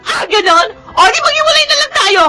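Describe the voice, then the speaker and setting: Betrayed wife, shrill, extremely high pitch, lively swings, Filipino radio drama. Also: female voice, brisk, shouting, exaggerated voice, poor audio. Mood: furious, hurt. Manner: shrill, melodramatic. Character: angry, betrayed wife confronting her cheating husband.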